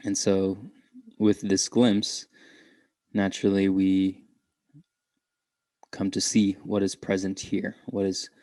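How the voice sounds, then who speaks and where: Man, guided meditation, gentle and unhurried, soft mellow tone, long pauses, dry close sound. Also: sits low, completely flat delivery, no room sound.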